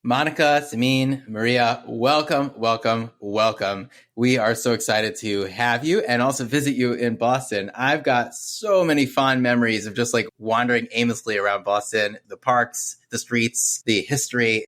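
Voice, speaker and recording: A man's voice: mid-range voice, moderate pace, hardly any pauses, fairly bright tone. Effusive male podcast co-host. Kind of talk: friendly podcast hosting.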